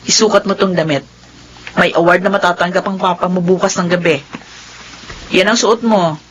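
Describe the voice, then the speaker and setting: A woman's voice: lowish voice, steady tone, moderate pace, slight background noise, natural voice. A woman playing an exasperated, worried middle-aged mother with a Filipino accent in a melodrama. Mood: stern. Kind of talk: melodramatic Filipino drama acting.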